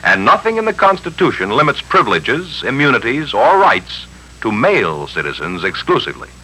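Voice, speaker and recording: An actor playing an emphatic, instructive civics explainer in a 1950s radio announcement, with a rough-edged voice. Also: male voice, medium pitch, wildly swooping pitch, normal speed, sharp tone, heavily acted, poor audio. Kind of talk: 1950s radio public information address on civics.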